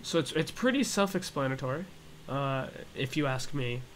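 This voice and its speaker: Casual man explaining over clear background noise. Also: medium pitch, lively intonation, normal speed, fairly bright tone. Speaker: gaming tutorial host. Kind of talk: casual flight simulator tutorial commentary.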